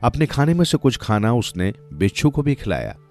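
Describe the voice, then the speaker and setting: A man's voice: medium pitch, sing-song, moderate pace, a little mellow, soft background music. A man narrating a moral folk tale from a script, as a storyteller.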